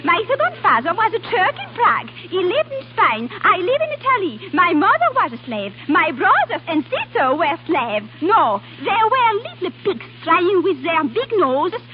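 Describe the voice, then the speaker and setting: An actress playing a passionate, volatile young foreign woman in a vintage radio drama, with halting, dramatic delivery and uneven foreign-sounding English. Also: extremely high pitch, huge pitch swings, exaggerated voice. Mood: furious outburst. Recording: old radio broadcast, tinny band-limited, hiss.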